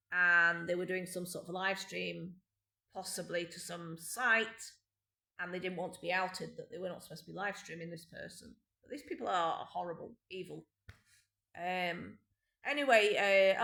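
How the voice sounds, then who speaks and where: A middle-aged woman with a British accent talks on and on in a clear, bright voice, aggrieved and rambling, stopping often to restart her sentences. Her voice bounces around an echoey room at home. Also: lowish voice. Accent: British English. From Britain. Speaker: vlogger. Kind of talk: unscripted personal monologue. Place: home indoors.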